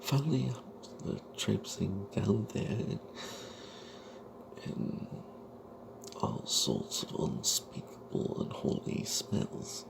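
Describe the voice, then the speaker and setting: A man narrating a fantasy tale in breathy ASMR whispers, in character as a wry, suspicious adventuring cleric, with a halting, hushed delivery. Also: fairly low pitch, wildly swooping pitch, noisy background.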